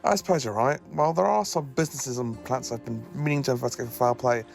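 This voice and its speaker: A gentle, hesitant alien professor who travels through time, played by a man. His voice has a gravelly edge and sits at an ordinary pitch, with a faint music bed behind him. He is caring but a little awkward in an audio drama. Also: quick pace, natural voice.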